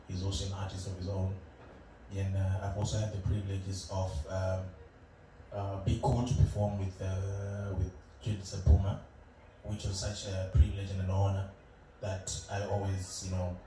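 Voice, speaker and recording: Brief: young man from Zimbabwe, low voice, relaxed musician guest, far from the mic in a very echoey noisy room. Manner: relaxed, hesitant, many fillers and laughs. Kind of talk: casual interview chat. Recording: roomy, distant, noisy room.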